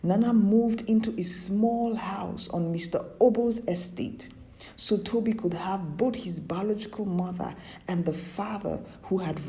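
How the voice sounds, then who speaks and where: A woman narrating a scripted story in a measured, dramatic storytelling style. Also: mid-range voice, mellow. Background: music.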